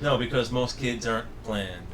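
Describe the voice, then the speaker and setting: A man's voice: medium pitch, moderate pace, a bit bright. A man chatting casually and jokingly as a podcast panelist, on a distant mic in a noisy room.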